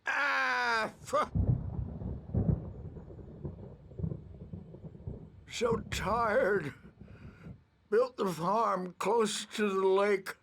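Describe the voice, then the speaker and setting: An actor playing a crazy, tired old washed-up rock musician in a video game, with a cracking voice and a rambling, eccentric manner. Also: male voice, drawn-out words, a bit bright, slight background noise, exaggerated voice. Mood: weary. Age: older. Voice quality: creaky, high, cracking old-man voice. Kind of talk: video game character acting.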